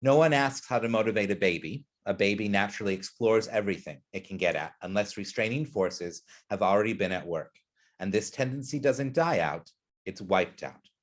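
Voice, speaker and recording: A man with a fairly low voice leads an educational webinar. He explains concepts earnestly and poses questions to the listeners. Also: brisk. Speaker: presenter.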